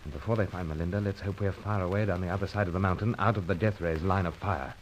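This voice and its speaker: An actor playing a gentlemanly British secret agent in an old radio adventure serial, clipped and commanding. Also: male voice, sits low, fairly even intonation, quick pace, muffled recording, loud music behind the voice, natural voice. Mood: low, urgent. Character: calm heroic British secret agent, leader and narrator.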